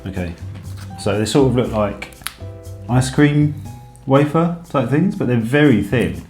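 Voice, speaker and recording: A man's voice: medium pitch, unhurried, a little mellow. A man co-hosting a casual snack-tasting chat at home, hesitant and chatty with lots of ums. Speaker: co-host. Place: home room. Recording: echoey room with background music.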